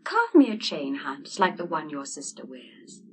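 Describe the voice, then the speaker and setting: A woman voicing a kind, decisive, well-to-do young lady with a gracious, brisk manner, in an audiobook story. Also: deep voice, wildly swooping pitch, breathy edge, distant mic, full character voice. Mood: decided.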